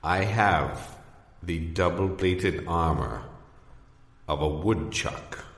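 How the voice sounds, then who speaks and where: A man's voice: low-pitched, slow. A man playing a pompous, combative rodent duelist who declaims in grand archaic language in improvised audio comedy. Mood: defiant, boastful. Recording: echoey hall with long reverb.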